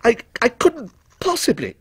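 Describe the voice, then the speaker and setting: A man plays an absent-minded, uneasy gentleman customer from an audiobook story. He sounds high and light, speaking hesitantly and politely. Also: huge pitch swings, quick pace, breathy edge, heavily acted. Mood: flustered. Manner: hesitant, faltering.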